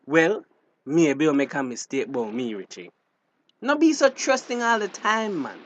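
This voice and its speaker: A man's voice: on the high side, sing-song, normal speed, fairly bright tone, dull audio. Evasive male fisherman, acted, Belizean Creole accent, defensive and hard-nosed, naturalistic drama dialogue, faint background noise. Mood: cold, resigned. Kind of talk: naturalistic dialect radio drama acting.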